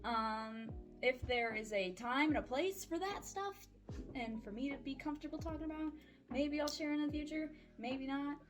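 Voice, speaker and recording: Casting: woman, twenties, higher-pitched voice, informal vlogger delivery, frank, slangy and wry, music underneath. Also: moderate pace. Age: 20s. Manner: candid, slangy, playfully sarcastic. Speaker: vlogger and voice-acting audio creator. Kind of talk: casual chatty life-update vlog. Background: background music.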